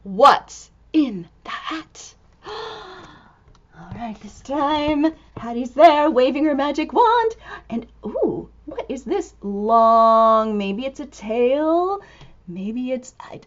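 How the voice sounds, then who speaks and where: Animated, high-pitched woman reading to young children. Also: lo-fi sound. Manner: expressive, exclaiming, drawn-out words with hushed asides. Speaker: storyteller and teacher reading a picture book aloud.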